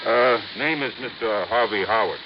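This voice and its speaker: A man's voice: lowish voice, normal speed, lightly acted. An actor playing a folksy, talkative male doorman who rambles in loose grammar, in a 1950s radio drama. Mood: chatty. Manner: rambling, ungrammatical, keeps seeking agreement. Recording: muffled hissy old radio broadcast.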